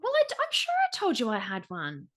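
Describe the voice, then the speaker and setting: A woman's voice: a little high, wildly swooping pitch, brisk, crowd noise. A woman in her forties with an Australian accent, co-hosting a podcast in casual, jokey, slangy chat. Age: 40s. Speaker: podcast co-host.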